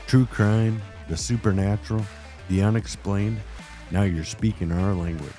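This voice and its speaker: Guitar music plays under a deep-voiced man. He narrates a podcast intro with a dark, mellow tone and scripted drama. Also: fairly even intonation, a little slow. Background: plucked guitar music.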